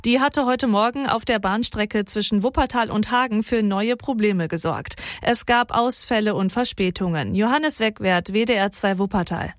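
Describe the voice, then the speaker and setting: A woman presenting regional radio news, reading in a clear, even way. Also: medium pitch, normal speed, few pauses, no room sound, clean studio sound.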